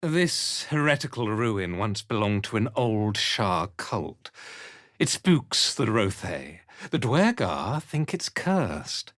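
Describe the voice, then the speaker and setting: An actor playing a haughty, ruthless cult commander in a video game, speaking in an imperious, deliberate, disdainful manner. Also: male voice, lowish voice, big pitch swings, fairly bright tone, no room sound, clean studio sound, full character voice. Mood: haughty, measured. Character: haughty, ruthless male cult commander, contemptuous slave driver. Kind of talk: video game character acting.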